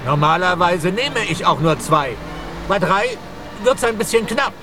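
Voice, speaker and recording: A taxi driver with pride and nerves. He speaks warily and earnestly in a high register, in a radio drama scored with clear music. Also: lively intonation, unhurried. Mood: proud, puzzled. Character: proud, uneasy male taxi driver. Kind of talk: German radio drama acting.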